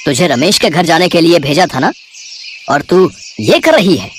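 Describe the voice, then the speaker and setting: A man's voice: on the high side, sing-song, normal speed, fairly bright tone, a little hiss or hum. A man voicing a furious, bullying brother in a story, aggressive and threatening.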